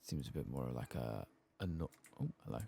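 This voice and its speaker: Male ASMR game streamer, extremely low gravelly register, husky, murmured play-by-play to himself. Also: lively intonation, brisk, dark tone. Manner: low-key, murmuring to himself while playing. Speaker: gaming streamer. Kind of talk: soft-spoken ASMR game playthrough commentary. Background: keyboard typing and mouse clicks.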